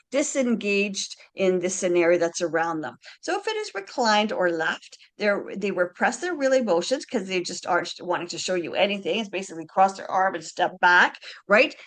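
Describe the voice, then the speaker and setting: A woman's voice: mid-range voice, a bit bright. A woman, a Slovene speaker, presenting handwriting analysis to a group over a video call in a chatty, explanatory way, often checking in with "right?" and "alrighty".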